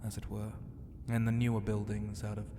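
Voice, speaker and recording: A man playing a flirty, self-assured ancient ocean god in an ASMR roleplay, teasing and grandiose, with a breathy voice that often drops into a whisper. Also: fairly low pitch, monotone, natural voice. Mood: relaxed, boastful. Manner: slow, teasing and grandiose, with hesitant hums and murmurs. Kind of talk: ASMR roleplay, intimate half-whispered delivery. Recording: long reverb, clear background ambient noise.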